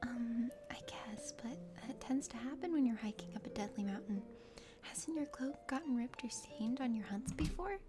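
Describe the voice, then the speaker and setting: Woman as a plucky, high-voiced, exasperated young lady knight. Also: moderate pace, natural voice. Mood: wry, exasperated. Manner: blunt, sarcastic, animated. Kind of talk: ASMR game character read-aloud.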